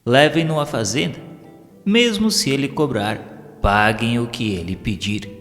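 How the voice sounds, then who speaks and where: A Brazilian man voicing a kindly, calm male medium who gives measured, gentle instruction in an audiobook. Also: medium pitch. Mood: calm, advising. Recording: long reverb with music behind.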